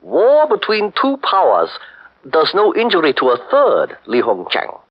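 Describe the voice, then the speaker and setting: A man's voice: high-pitched, huge pitch swings, heavily acted. A stern statesman in a 1940s radio drama. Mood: cold, firm. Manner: measured, cold, unyielding. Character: male Japanese statesman, stern, hard-bargaining. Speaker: Marquis Ito. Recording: dull old radio broadcast.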